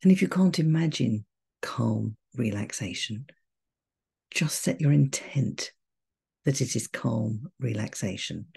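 Lady doing a guided meditation, real slow and mellow, soft breathy voice, calm n soothing. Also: extremely low pitch. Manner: slow, gentle, measured with long pauses.

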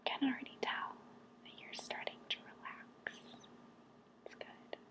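A woman whispering softly and breathily close to the mic, guiding a relaxation session in a gentle, reassuring manner. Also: measured pace. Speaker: ASMR host guiding relaxation.

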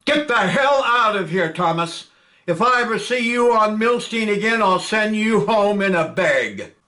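A man's voice: high voice, lively intonation, a little slow, bright, forceful tone, pitched above the performer's own voice, exaggerated voice. A man reading a play aloud, voicing a firm, commanding male squadron chief. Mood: angry.

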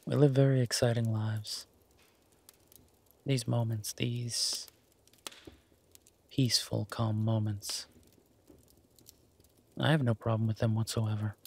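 A man playing an affectionate, laid-back werewolf boyfriend in intimate roleplay audio, gentle and halting, with a husky, breathy voice. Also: mid-range voice, flat delivery. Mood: tender, content. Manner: gentle, halting, murmured with long pauses. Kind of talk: intimate roleplay audio, slow soft conversational acting.

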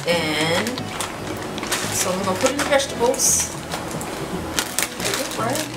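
A woman's voice: medium pitch, expressive, takes it slowly. A young woman in her twenties talking casually through a home cooking demonstration in her kitchen, saying "you know" and "y'all" a lot. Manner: chatty, loose, says "you know" and "y'all" often. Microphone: camera mic from across the kitchen. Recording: roomy kitchen audio from a distant camera, loud music underneath.

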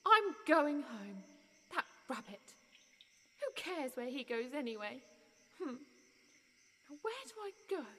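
A woman's voice: high voice, big pitch swings, unhurried, long pauses, fairly bright tone, long reverb tail, a little hiss or hum, heavily acted. A woman playing a curious, polite, easily flustered young girl in an audio drama, wondering and earnest. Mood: exasperated.

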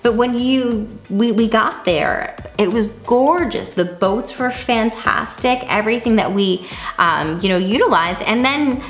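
A woman in her thirties co-hosting a travel show, chatting in an animated, enthusiastic way on a studio set. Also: a little high, measured pace, faint music behind. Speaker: co-host. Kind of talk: casual scripted chat. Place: indoor studio set with sofa.

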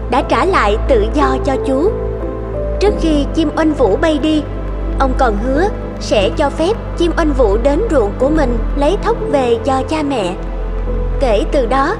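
A woman's voice: sits high, lively intonation, unhurried. A woman narrating a scripted story as a storyteller. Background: music behind the voice.

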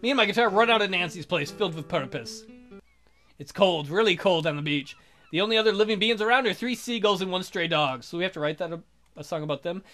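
A man's voice: high voice, fast, bright, forceful tone. A man hosting a let's play, reading a visual novel aloud and adding crude, irreverent asides. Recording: voice over game music. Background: game music.

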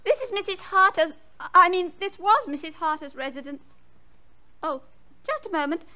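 High-voiced deferential housemaid. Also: female voice, lively intonation, normal speed, pushed and bright, exaggerated voice. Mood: flustered, meek. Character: flustered, deferential maid. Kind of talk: radio drama acting.

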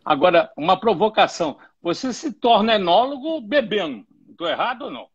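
Chatty middle-aged host, husky voice, muffled lo-fi live stream. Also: male voice, sits high, big pitch swings, fairly quick. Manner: chatty, joking, stumbles over words. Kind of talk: live interview. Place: home room, indoors.

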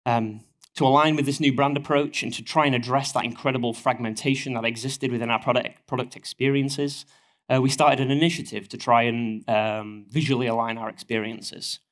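A man in his thirties with a broad northern English accent presents at a conference lectern, speaking into a headset mic. He is getting over a cold, and his delivery is steady and explanatory, scattered with ums and uhs. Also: medium pitch, a little fast. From northern England. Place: stage of a conference hall, at a lectern.

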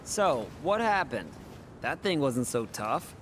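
Young game hero, earnest and upbeat, swings up and down, unhurried, rumbly background. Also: male voice, sing-song, noisy background. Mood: questioning, reproachful. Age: young adult. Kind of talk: English video game voice acting.

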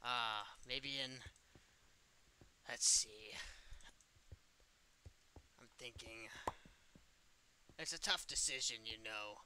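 A man's voice: medium pitch, drawn-out words, long pauses, background noise. A man playing a mischievous evil puppet animatronic in improvised game roleplay, bantering in a slightly whiny way. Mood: insistent. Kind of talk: improvised roleplay over gameplay chat.

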